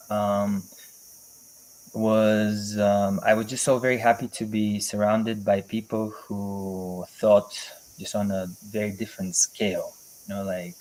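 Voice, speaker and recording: Man, low reflective voice. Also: darkish tone, rough recording. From Bulgaria. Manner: reflective, thinks aloud with many pauses and "you know, like". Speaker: guest. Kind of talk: casual interview. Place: home in a mountain forest.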